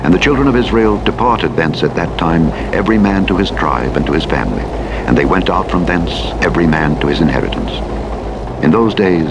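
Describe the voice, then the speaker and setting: A man narrating scripture from a script in a solemn, measured, formal delivery. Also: deep voice, lively intonation. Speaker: audiobook Bible narrator. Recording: loud vehicle engine noise throughout. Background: truck driving simulator game sounds.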